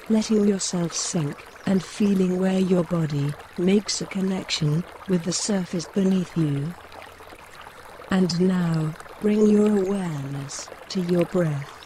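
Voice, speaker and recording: A woman gently guiding a mindfulness meditation in measured short phrases, with pauses between them. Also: on the low side, a little hiss or hum. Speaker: meditation guide. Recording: clear running stream water behind the voice. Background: trickling woodland stream.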